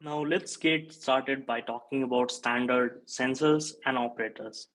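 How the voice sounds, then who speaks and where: A man's voice: medium pitch, steady tone, a little slow, a bit bright. A man in his thirties from Nepal, giving a technical conference talk from a webcam setup, explaining steadily with frequent "uh" fillers. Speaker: conference speaker, software engineer. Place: indoor home or office room. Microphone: webcam-style video call framing.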